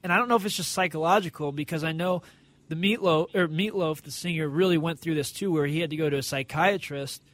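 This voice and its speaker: A man's voice: a little high, fast talker, a bit bright. A man singer in a casual chat, rambling and correcting himself as he talks.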